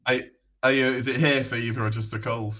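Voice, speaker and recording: A man's voice: medium pitch, fairly even intonation, fairly quick, sharp tone. A man hosting a podcast, introducing his guest with an announcer-style build-up, then chatting casually. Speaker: podcast host. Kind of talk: hyped show intro, then casual interview chat. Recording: dull lo-fi audio.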